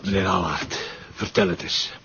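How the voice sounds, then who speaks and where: Gravelly, weary Flemish police commissioner. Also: male voice, deep voice, fairly even intonation, moderate pace, pushed and bright, exaggerated voice. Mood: impatient, sardonic. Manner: grumbling, colloquial, sardonic. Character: weary, hungover, gruff but affectionate police commissioner. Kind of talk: naturalistic audio drama acting. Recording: dull lo-fi recording, faint noise.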